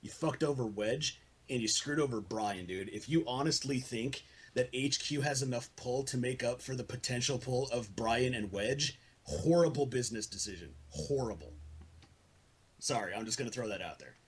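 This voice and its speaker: A man's voice: medium pitch, expressive, normal speed, slightly rough. A man speaking bluntly, with plenty of swearing, in an argumentative casual group conversation. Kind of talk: heated group voice call argument.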